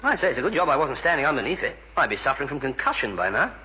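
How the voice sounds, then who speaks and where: A man's voice: medium pitch, big pitch swings, rapid-fire, lightly acted. An actor playing a bumbling, evasive Englishman in a vintage British radio sitcom, stammering and muddling his words as he tries to stay neutral. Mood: dry, uneasy. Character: bumbling, evasive man desperately staying neutral. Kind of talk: 1950s-60s British radio sitcom acting. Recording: noisy, muffled vintage radio recording.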